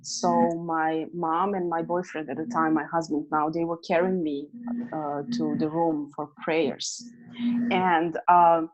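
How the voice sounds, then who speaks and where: A woman with a Serbian accent, a guest telling her personal story in an interview, earnest and halting, with restarts. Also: on the low side, highs cut off.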